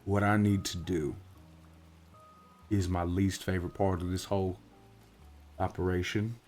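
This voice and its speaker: Man playing a low-voiced orc detective. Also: flat delivery, a little slow, darkish tone, faint music behind. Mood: gentle, resigned. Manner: folksy, drawling, wry, plain-spoken. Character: gruff, world-weary male orc warlock private investigator. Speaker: voice actor in roleplay audio. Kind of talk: soft-spoken in-character audio roleplay.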